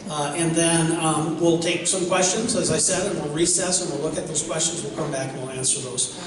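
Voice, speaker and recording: A man's voice: a little high, steady tone, fairly quick. A man moderating a public comment session, explaining the procedure and key points in an orderly way. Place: public meeting hall indoors. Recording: noisy echoing hall, from a distance.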